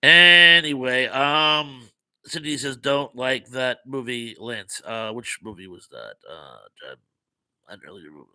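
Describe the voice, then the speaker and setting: A man's voice: mid-range voice, unhurried, bright, forceful tone, clean tone. A man hosting a casual livestream, reading viewer comments aloud and rambling with wry asides, his voice getting worn out. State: losing his voice. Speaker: livestream host reading chat.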